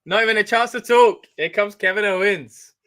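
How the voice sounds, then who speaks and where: Man, bright forceful voice, slightly high, excitable live reaction chat. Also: moderate pace. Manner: excitable, chatty, reads out viewer comments. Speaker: wrestling watch-along host. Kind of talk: live casual reaction commentary.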